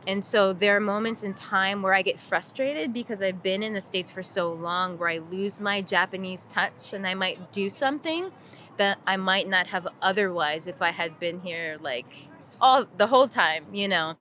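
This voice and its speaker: A woman's voice: mid-range voice, normal speed, bright, forceful tone, slight background noise. A woman speaking in an interview, earnest and reflective.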